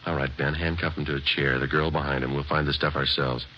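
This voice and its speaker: An actor playing a clipped, matter-of-fact police sergeant in a vintage radio crime drama, on a dull old radio recording. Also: male voice, a bass voice, fairly even intonation, fast, a little hiss or hum. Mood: terse. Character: clipped male police sergeant, dry and businesslike. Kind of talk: 1940s radio acting and narration.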